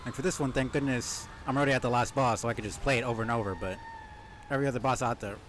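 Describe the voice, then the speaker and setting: A man's voice: medium pitch, fairly even intonation, racing, a bit bright, a little hiss or hum. A man giving casual live gaming commentary, thinking aloud as he plays and chatting with viewers. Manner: musing aloud while playing, chatty with viewers. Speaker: live game streamer.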